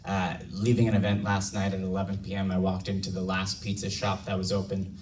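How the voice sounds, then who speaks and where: Hoarse low-voiced man giving a campaign speech. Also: monotone, fairly quick, darkish tone, distant mic. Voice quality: raspy. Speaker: congressional candidate. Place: large event hall.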